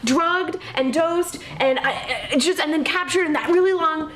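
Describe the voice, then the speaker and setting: A woman's voice: sits high, normal speed, fairly bright tone. A woman co-hosting a horror show, chatting casually and informally with opinions, peppering her talk with "like". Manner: gushy, informal, frequent 'like'. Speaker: co-host of a horror news show. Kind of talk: casual chat, giving opinions. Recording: roomy echo, faint background noise.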